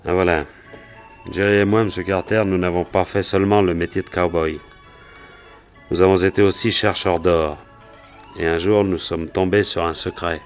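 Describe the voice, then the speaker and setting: Casting: man playing a low-voiced cowboy gold-hunter, wary and colloquial storyteller, for a radio detective play with music underneath. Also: steady tone, moderate pace, pauses often, close to an everyday voice. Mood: recounting earnestly. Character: male cowboy and gold prospector, plain-spoken, wary, recounting his story. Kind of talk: French radio detective drama acting.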